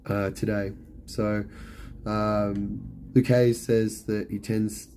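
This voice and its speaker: A middle-aged man with a hoarse, fading voice, chatting casually on a live stream and answering viewers' questions with lots of ums and you-knows. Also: lowish voice. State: hoarse, losing his voice.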